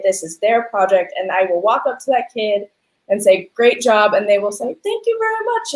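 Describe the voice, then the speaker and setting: A woman hosting an interview, talking in an animated, affirming and conversational way. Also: a little high. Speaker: interview host. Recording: roomy, echoey sound.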